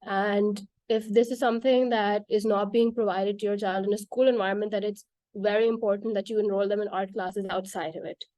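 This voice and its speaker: A woman's voice: medium pitch, steady tone, a little fast, dark tone, no echo. A woman whose first language is Urdu, earnestly explaining why art matters for children, with frequent "like" and "right?" fillers. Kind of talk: casual explanatory talk.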